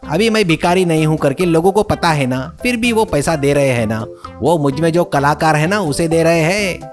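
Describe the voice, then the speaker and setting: Man voicing a brazen, whiny cartoon beggar, high-pitched. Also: lively intonation, moderate pace, soft background music, lightly acted. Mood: cocky, mocking. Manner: pleading, loud, indignant street patter. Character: brazen scheming male beggar, whiny and theatrical.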